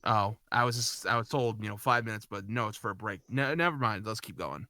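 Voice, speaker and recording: A man's voice: lowish voice, a little fast, bright, forceful tone. A man chatting casually and informally as an amateur voice actor, a bit hesitant. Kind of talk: casual chat between read lines.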